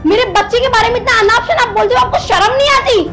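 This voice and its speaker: A woman's voice: very high-pitched, fairly quick, fairly bright tone, faint music behind. A young woman playing a wronged, proud delivery worker in a melodramatic skit, pleading indignantly. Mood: tearful outrage. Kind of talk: melodramatic scripted Hindi skit acting.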